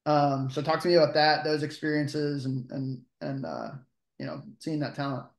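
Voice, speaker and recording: A man's voice: fairly high pitch, moderate pace, vocal fry, reverberant. A man co-hosting a sports podcast, reading a guest introduction and then asking chatty questions. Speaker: podcast co-host.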